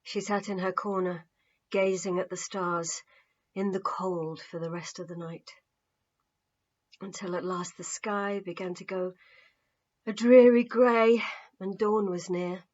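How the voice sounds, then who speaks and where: A woman reading her novel aloud as an expressive, measured audiobook narrator. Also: mid-range voice, muffled recording. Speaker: author reading own novel.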